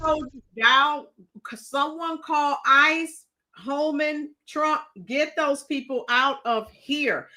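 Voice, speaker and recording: Bright high-voiced woman, emphatic live commentary. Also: takes it slowly. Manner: emphatic, exclamatory, addresses viewers directly. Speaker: livestream host reacting to news and viewer comments. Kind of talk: casual live reaction commentary.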